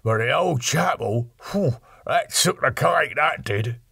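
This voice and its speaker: High-pitched, chattering Cockney working man. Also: expressive, takes it slowly, creaky, sharp tone, slightly rough, no echo, clean studio sound, full character voice. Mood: spooked, exclaiming. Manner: colloquial, exclamatory, complaining. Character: rough, garrulous Cockney carter, working man. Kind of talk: audiobook character dialogue.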